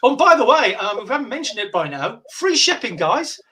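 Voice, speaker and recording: A man's voice: very high-pitched, big pitch swings, a little fast, noisy background. A man presenting whisky deals in a chatty live stream, rambling with lots of fillers and restarts. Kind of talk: casual live-stream sales chat.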